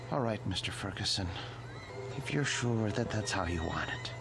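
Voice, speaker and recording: An actor playing a devoted, lonely museum caretaker, meek but fervent, in a vintage television drama with emotional, deferential delivery. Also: male voice, fairly low pitch, animated, takes it slowly, loud music behind the voice. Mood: quietly resigned.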